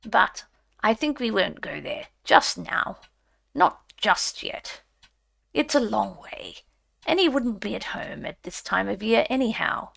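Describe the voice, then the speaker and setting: A woman's voice: mid-range voice, big pitch swings, slow pace, vocal fry, fairly bright tone, husky, full character voice. A woman voicing a friendly but anxious male water rat in a storybook, emphatic and evasive.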